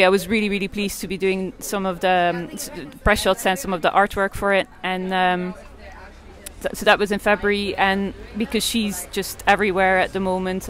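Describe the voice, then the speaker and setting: A woman's voice: medium pitch, a bit bright. A woman in her forties from Belgium, an artist and photographer answering interview questions in a hesitant way, with many fillers and restarts.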